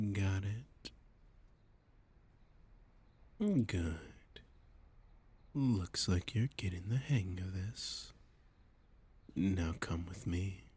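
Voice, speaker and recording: A man playing a flirty, menacing masked villain in an ASMR roleplay, breathy and husky, often whispering, with a domineering, teasing, condescending manner. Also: deep voice, exaggerated voice. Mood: threatening.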